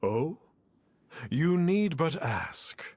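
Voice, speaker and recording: A husky, slow-speaking mystic sage, grand and archaic in a fantasy game. His pitch swings theatrically as he makes lofty pronouncements over background music. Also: very animated, darkish tone, no echo, exaggerated voice. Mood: smug, genial. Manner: grandiose, archaic, deliberate speech. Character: pompous masked man posing as a mystic immortal sage. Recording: music behind the voice.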